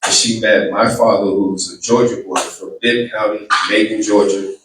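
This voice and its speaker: A man's voice: on the low side, steady tone, normal speed, a bit bright, a little hiss or hum. A man with a Boston accent giving a campaign speech and taking questions, earnest and often repeating his words. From Boston, Massachusetts. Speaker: political candidate. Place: large room with an audience. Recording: echoey room, distant mic.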